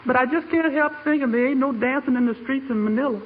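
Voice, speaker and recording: Very high-pitched folksy radio housemaid. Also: male voice. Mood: earnest. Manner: folksy ungrammatical talk, drawn-out vowels, big giggles. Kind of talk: 1940s radio comedy acting. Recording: noisy muffled 1940s radio recording.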